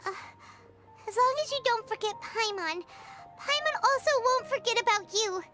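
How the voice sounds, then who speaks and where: Super high squeaky little fairy sidekick, game character, childlike, emphatic n excitable. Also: female voice, normal speed, soft background music, heavily acted. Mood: tearful. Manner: refers to self in third person, emphatic. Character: tiny childlike fairy companion, excitable and warmhearted. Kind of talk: video game voice acting.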